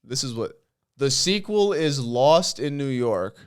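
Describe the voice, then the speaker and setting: A man with a mid-pitched voice that rises and falls a lot jokes around on a casual comedy podcast. He keeps it playful, laughs easily and repeats his punchlines. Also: sing-song, slow, crowd noise. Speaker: podcast co-host.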